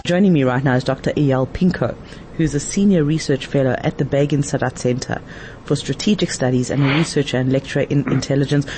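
A man's voice: medium pitch, fairly even intonation, fairly quick, a little mellow. A man hosting a conversational news interview, putting questions to a guest. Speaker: interview host. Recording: faint background noise.

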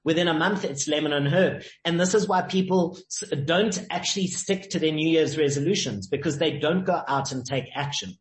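Male guest, upbeat and sure of himself, pitched a little high, roomy echo. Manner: confident, emphatic, uses sayings and stories. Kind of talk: motivational interview talk. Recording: echoey room.

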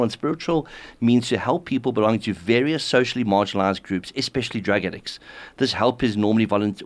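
A man hosting a radio interview, reading a scripted introduction and asking direct questions. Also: mid-range voice. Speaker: radio host.

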